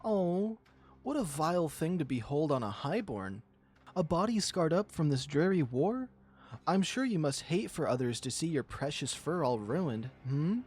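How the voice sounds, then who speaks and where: A man's voice: fairly high pitch, very animated, measured pace, darkish tone, slightly rough, pitched above the performer's own voice, exaggerated voice. A man voicing a mischievous, savage lioness warrior in a visual novel, with mocking, theatrical delivery. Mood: mocking, gloating. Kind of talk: visual novel character acting.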